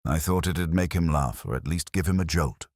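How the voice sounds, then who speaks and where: A man's voice: very deep voice, normal speed, a little mellow, dry recording, studio quality. A man voicing a weary, nervous, guilty caretaker in an audio drama, hesitant and confessional. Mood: sheepish confession. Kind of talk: audio drama character reading.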